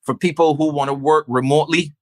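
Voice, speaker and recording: A man's voice: fairly high pitch, fairly even intonation, quick pace. A man with a Barbadian accent, a business owner talking casually and stressing his points by repeating phrases. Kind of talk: casual conversation.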